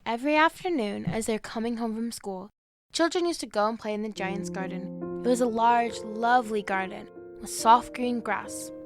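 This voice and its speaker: An actress playing a gentle young woman who reads a fairy tale aloud in an audio drama, measured and earnest. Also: on the high side, no room sound, lightly acted. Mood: earnest storytelling. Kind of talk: storybook narration in an audio drama. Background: music.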